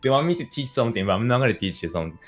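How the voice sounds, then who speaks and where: A man whose native language is Japanese speaks halting, simple English with a Japanese accent as he explains words in a casual chat. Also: medium pitch, very animated.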